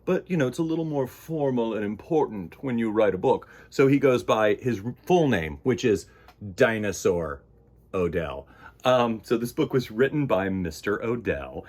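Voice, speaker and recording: A man's voice: on the low side, darkish tone. A man hosting storytime, reading a picture book aloud in a dramatic, playful, emphatic way for children. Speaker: children's storytime host and reader.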